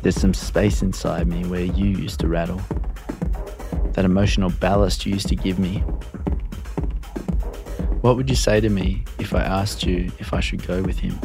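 A man with a very low voice reads a literary story in the first person. His delivery is gravelly and measured, confessional in its short sentences, with faint music underneath. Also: fairly even intonation.

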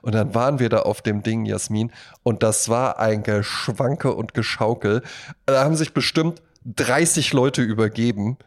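A man's voice: mid-range voice, normal speed. A man co-hosting a podcast, chatting casually in a rambling way with frequent repeats and tag words. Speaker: podcast co-host. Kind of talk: casual podcast chat with anecdotes.

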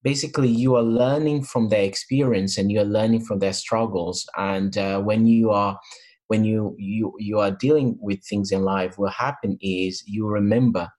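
低い声で言いよどむ男性. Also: male voice, fairly low pitch, steady tone, moderate pace, darkish tone. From Portugal and Africa. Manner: hesitant, many fillers and restarts. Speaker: interview guest, mentor. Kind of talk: interview answers. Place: in England.

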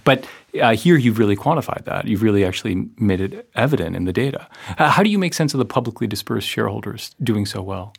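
Fast-talking gravelly male interviewer. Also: fairly low pitch, lively intonation. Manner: quick, enthusiastic, many affirmations. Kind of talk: conversational interview.

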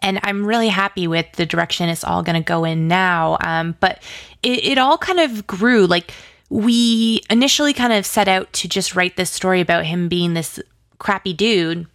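A woman's voice: on the low side, normal speed, a bit bright, no room sound, clean studio sound. A woman chatting casually as an interview guest, enthusiastic and gushing, peppering her sentences with "like". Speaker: interview guest, audio drama writer and producer.

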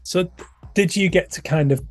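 A man's voice: high-pitched, moderate pace. A man in his thirties hosting a podcast, talking in an earnest, rambling, passionate way. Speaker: podcast host. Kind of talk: podcast intro and interview.

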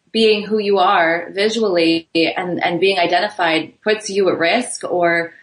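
A woman's voice: lowish voice, fairly bright tone. A woman in her thirties speaking earnestly in a casual panel discussion, with some fillers. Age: 30s. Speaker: fashion blogger. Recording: roomy echo.

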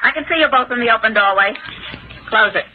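A woman's voice: fairly high pitch, fast, lightly acted. Woman acting a menacing, vengeful villainess in old radio. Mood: cold, taunting. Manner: menacing, commanding, theatrical. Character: cold vengeful widow holding a gun, scheming villainess. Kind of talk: 1940s radio mystery acting. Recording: dull noisy 1940s radio recording.

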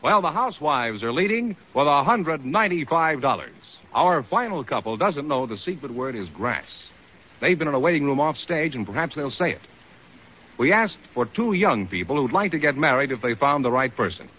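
Animated American radio announcer, male. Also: a little high, moderate pace. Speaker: quiz show announcer. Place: studio stage before an audience. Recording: dull vintage radio recording.

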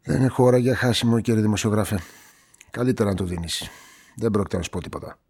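An actor playing a gruff, hostile shopkeeper in a radio play, curt and dismissive. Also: male voice, fairly low pitch, darkish tone.

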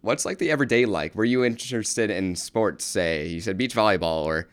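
A man's voice: fairly low pitch, a little fast, smooth. A man hosting a business podcast who reads a scripted intro and then asks interview questions in an upbeat way. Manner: upbeat, brief affirmations. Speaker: podcast host.

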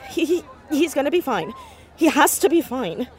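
Bookish teen girl detective, stubborn and earnest, highish voice, wordy, trips over words, audio drama. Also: lively intonation, moderate pace, a little mellow. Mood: anxious, rambling. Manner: wordy, stammering when anxious. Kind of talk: naturalistic audio drama acting.

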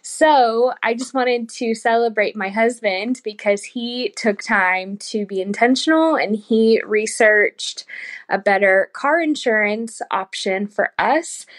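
A woman from Oklahoma leaving an upbeat, earnest voice message for a podcast. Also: on the high side. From Oklahoma, USA. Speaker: caller. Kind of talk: recorded voice message.

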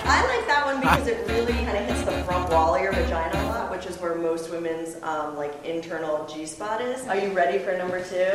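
A woman's voice: lowish voice, a little fast, few pauses. A woman hosting and instructing guests in a playful, frank demonstration, with exclaiming, teasing encouragement. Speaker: host and instructor. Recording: echoey room with music behind.

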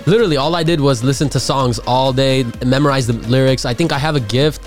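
A man's voice: medium pitch, quick pace, faint music behind. A man from Armenia, a guest recording artist, talking casually in a radio interview. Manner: relaxed, casual slang. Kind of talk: conversational interview.

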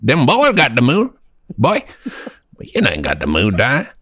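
A man's voice: mid-range voice, huge pitch swings, unhurried, a bit bright, breathy edge, heavily acted. A man voicing a cocky young redneck man who teases in a mocking drawl. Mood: teasing.

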